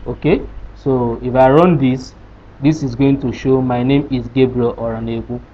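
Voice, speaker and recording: A man's voice: mid-range voice, steady tone, moderate pace. A man teaching a programming lesson, explaining code step by step and asking rhetorical questions as he goes. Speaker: programming tutor. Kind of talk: screen-recorded coding lesson. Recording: dull lo-fi sound with faint hiss.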